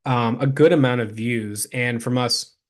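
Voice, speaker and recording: A man's voice: mid-range voice, a little slow. A man giving an informal explanatory presentation as a consultant, speaking directly to the viewer with practical advice. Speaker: local SEO consultant presenting a case study.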